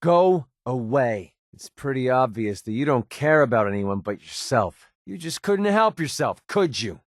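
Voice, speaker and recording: An actor playing a guarded, wounded mercenary in a video game, sarcastic and quick-tempered but loyal, speaking in a plain, earnest, edgy way. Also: male voice, mid-range voice, very animated, no room sound, clean studio sound. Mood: exasperated, confrontational. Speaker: companion character in a video game. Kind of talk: naturalistic video game voice acting.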